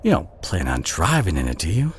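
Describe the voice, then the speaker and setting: A man with a Southern US accent playing a kind, protective, bashful country boy in an audio roleplay, speaking in a gentle, folksy, hesitant way with a husky voice. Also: sits low, huge pitch swings, a little fast, a little hiss or hum. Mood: earnest concern. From southern United States. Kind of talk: audio roleplay acting, addressed to the listener.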